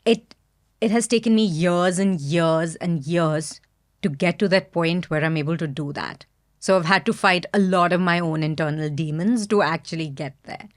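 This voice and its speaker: A woman's voice: fairly low pitch, normal speed. A woman from India with an Indian accent, speaking candidly and thoughtfully as a podcast guest. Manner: soft-spoken, candid, thoughtful. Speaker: guest, business founder. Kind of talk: reflective podcast interview.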